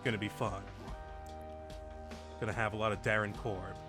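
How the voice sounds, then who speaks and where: A man's voice: fairly low pitch, flat delivery, moderate pace. Man hosting a tabletop game over music. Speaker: game master and host. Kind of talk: casual tabletop game session chat and narration. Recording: music playing behind the voice.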